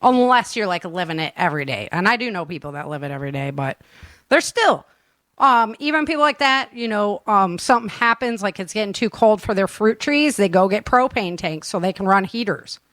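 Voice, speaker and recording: A woman's voice: medium pitch, lively intonation, brisk, fairly bright tone, dry recording. A woman hosting a casual live chat show, talking informally with plenty of everyday slang. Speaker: show host and producer.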